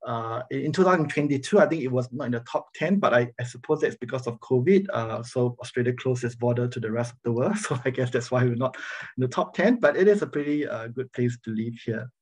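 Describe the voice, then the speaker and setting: A middle-aged man from Singapore with a Singaporean accent, giving an informal academic presentation on a video call in a halting manner. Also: medium pitch, expressive, a little mellow, husky. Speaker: university professor, guest speaker. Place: online video meeting, speaking over slides. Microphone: video call microphone.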